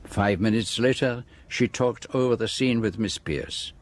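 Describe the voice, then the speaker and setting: Belgian detective, French-speaking accent, lowish pitch, measured, exact, radio drama. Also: male voice. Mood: measured, assured. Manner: measured, precise, formal explanation. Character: shrewd, precise, theatrical Belgian detective, middle-aged man.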